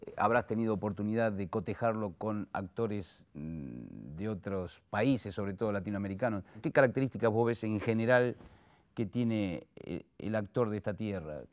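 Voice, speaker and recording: A man with an Argentine accent and a fairly low voice conducts a conversational interview. He builds his questions haltingly, searching for phrasing, and often chimes in to agree. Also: animated, darkish tone.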